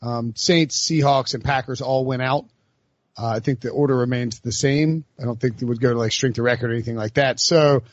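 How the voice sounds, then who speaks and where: A man's voice: mid-range voice, steady tone, fairly quick, no echo, muffled recording. A man hosting a casual sports talk podcast, loose and chatty, with frequent fillers and laughter. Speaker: podcast host.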